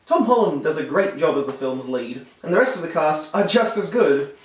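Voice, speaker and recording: A hoarse man who is losing his voice, giving a wry, enthusiastic scripted film review. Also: fairly high pitch, big pitch swings, normal speed. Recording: roomy echo, distant mic.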